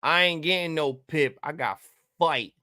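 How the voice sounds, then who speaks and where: A man in his thirties hosting a live-reaction stream, chatting casually and rambling with profanity and sudden loud excited outbursts. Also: fairly high pitch, a little slow, dry recording, studio quality. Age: 30s. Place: home room at a table.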